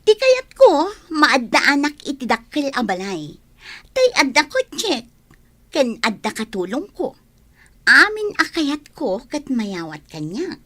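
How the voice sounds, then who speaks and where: A woman's voice: high-pitched, wildly swooping pitch, a little slow, bright, forceful tone, exaggerated voice. A woman playing a vain, snappish young village beauty who craves riches, in a radio drama. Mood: dreamy, boastful. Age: young adult. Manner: haughty, snappish.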